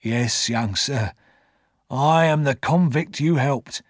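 A male reader plays a rough old convict in his sixties from a storybook. His voice is husky and worn, and he takes his words slowly, with humble, weary fondness. The sound is close and dry. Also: medium pitch, no room sound. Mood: fond, earnest. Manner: slow, quiet, deliberate.

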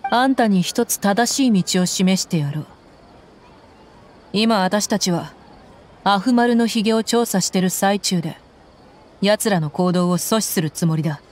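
A woman's voice: medium pitch, measured pace, long pauses, natural voice. A woman voicing a blunt tough mercenary woman. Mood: stern. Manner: rough commanding, blunt threats. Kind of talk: Japanese game dub. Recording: game audio with loud music behind.

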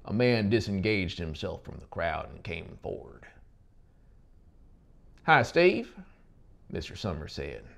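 A man's voice: low voice, moderate pace, creaky, hardly any pauses. A man in his thirties narrating an audiobook story in a dramatic style. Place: small dark indoor studio. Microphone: lapel microphone. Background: music.

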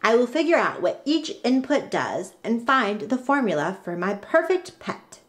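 Woman voicing an eager, animated inventive girl. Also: fairly high pitch, moderate pace. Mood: excited, determined. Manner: exclamatory, emphatic, thinking aloud. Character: eager, inventive young girl, dramatic and determined.

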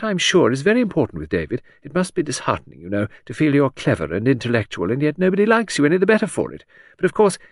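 A man's voice: fairly high pitch, vocal fry, no room sound, studio quality, voice pushed up, exaggerated voice. A man voicing a vague, rambling aristocratic older woman in an audiobook, with breathless, trilling delivery. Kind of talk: audiobook character voice.

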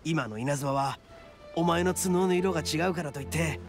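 A man voicing a boisterous, big-hearted young oni gang boss in a Japanese game, cocky and loyal, with swaggering rough speech. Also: fairly high pitch, normal speed, soft background music, natural voice. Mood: earnest, proud. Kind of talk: Japanese anime game voice acting.

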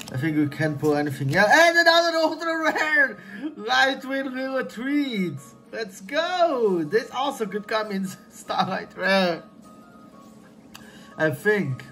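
A young man giving casual running commentary as he opens trading card packs, chatty, excited on big pulls and low on misses, recorded at home on a desk mic. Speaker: card-opening youtuber. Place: home room, indoors.

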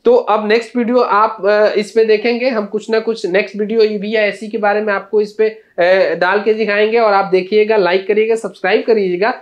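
A man's voice: sits high, flat delivery, moderate pace, hardly any pauses, dull audio. A middle-aged man, a repair-course trainer, introduces his channel to camera in an earnest, explaining way with small restarts, standing indoors before a large screen.

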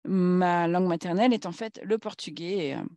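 A woman speaking at a conference in a formal political talk, halting and searching for words as she goes. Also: on the low side, mellow, no room sound.

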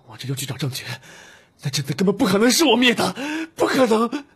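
A man's voice: sits high, very animated, a little fast, fairly bright tone, husky, exaggerated voice. A man playing an anguished, unstable young Taoist in an audiobook, with intense, agitated delivery. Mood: frantic denial. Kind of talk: dramatic audiobook acting.

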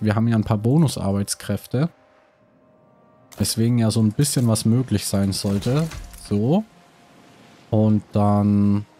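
A man's voice: lowish voice, mellow. Man with a cold, thinking aloud. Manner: thinking aloud, many hesitations. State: has a cold. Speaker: let's play host. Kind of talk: casual gameplay commentary.

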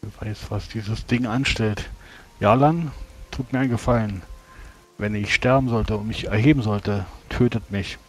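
A man's voice: fairly low pitch, moderate pace, husky. A man playing a wounded, grim adventurer who speaks formally and with composure in a tabletop roleplay. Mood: grave.

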